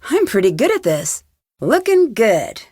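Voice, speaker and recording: Young lady sleuth for a video game, highish voice, determined, musing to herself, super dry sound. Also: wildly swooping pitch, measured pace, darkish tone, no room sound, exaggerated voice. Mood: purposeful. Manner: clear self-talk, inquisitive and purposeful. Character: curious, determined young female amateur detective. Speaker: game protagonist. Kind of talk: scripted adventure game voice lines.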